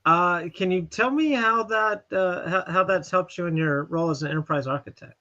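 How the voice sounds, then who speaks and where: Bright-voiced man with a dull lo-fi sound. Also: high-pitched, moderate pace. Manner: hesitant, with fillers and repeated words. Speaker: co-host playing interviewer. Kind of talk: casual live-stream chat and role-play interviewing.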